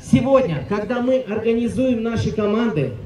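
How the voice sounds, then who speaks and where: Male event host, high voice, upbeat crowd address, heard from a distance amid chatter. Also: animated, moderate pace, darkish tone. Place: outdoor team-building event at a resort. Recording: echoey, distant mic, crowd noise.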